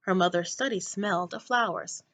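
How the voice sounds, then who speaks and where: A woman narrating a children's book aloud in an expressive read-aloud style, like a teacher to a class. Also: fairly low pitch, normal speed.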